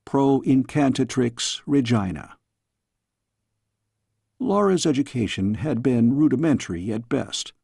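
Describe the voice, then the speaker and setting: A man reading a story as an audiobook narrator, in measured, expressive storytelling style. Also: mid-range voice, creaky, husky, dry recording, clean studio sound.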